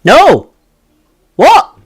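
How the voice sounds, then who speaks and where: A man's voice: extremely high pitch, wildly swooping pitch, extremely slow. Chatty Scottish man streaming a game. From Scotland. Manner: chatty, laughs often, thinks aloud. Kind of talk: live game stream chat.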